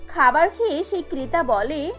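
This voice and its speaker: A woman's voice: sits high, animated, normal speed, a bit bright, faint music behind. A woman narrator reading a scripted cartoon story.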